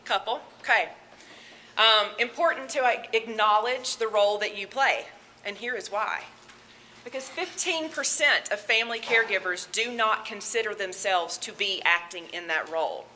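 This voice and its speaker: A woman with a Southern accent, a medical social worker giving a talk at a conference, loud and chatty with nervous laughs. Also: medium pitch, normal speed, slight background noise. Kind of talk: conference presentation. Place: conference room with audience.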